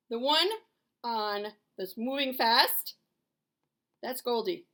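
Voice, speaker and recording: A woman's voice: medium pitch, vocal fry, fairly bright tone. A middle-aged woman teacher giving a lesson to young children, asking questions and answering them in simple, explanatory phrases. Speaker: elementary school teacher.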